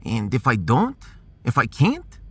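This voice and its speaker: A man voicing an excitable, grumbling male scientist in a sci-fi audio drama, theatrical and sardonic. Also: medium pitch, unhurried, slightly rough, exaggerated voice. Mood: flustered, doubtful. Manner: theatrical, exclamatory.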